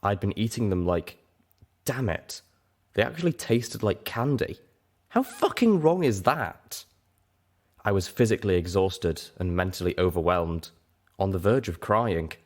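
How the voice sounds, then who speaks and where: Low-pitched man narrating a story. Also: measured pace. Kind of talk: dramatic first-person story narration.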